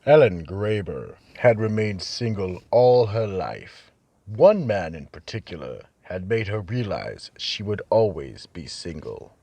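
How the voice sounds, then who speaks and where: Deep, husky horror narrator. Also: male voice, dark tone. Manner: ominous, measured. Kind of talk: scripted horror-show narration.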